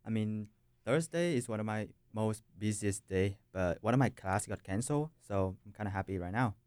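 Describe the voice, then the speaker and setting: A young man from Vietnam, native in Vietnamese, speaks as a student guest. His voice is somewhat low and smooth, captured dry, and his talk is casual. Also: expressive, normal speed, darkish tone, dry recording. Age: late teens. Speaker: podcast guest, college student.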